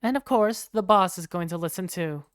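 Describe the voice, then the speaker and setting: A woman reading first-person narration and lead lines for a game fandub in an expressive, conversational way. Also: lowish voice, brisk, no room sound. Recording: faint music behind the voice.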